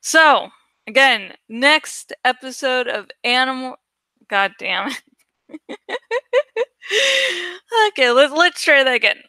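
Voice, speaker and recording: Bright-voiced, casually rambling woman. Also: high voice, lively intonation, slow pace, pauses often, clear, resonant tone, dry recording. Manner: self-deprecating, swears freely, thinks out loud. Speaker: video creator and host. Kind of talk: casual rambling commentary while editing artwork.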